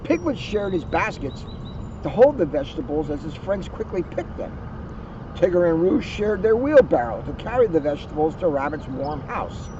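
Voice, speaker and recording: A man's voice: a little high, sing-song, moderate pace. A man narrating a children's storybook as a reader. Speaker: police officer reading a children's book. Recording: noisy outdoor recording, slightly muffled.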